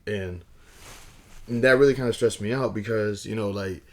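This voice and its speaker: A man with a very low, hoarse voice talks straight to camera in a loose vlog. He rambles, restarts his sentences and keeps a wry, conversational tone. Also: moderate pace. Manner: rambling, frequent restarts and fillers. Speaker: vlogger. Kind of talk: casual talking-head vlog.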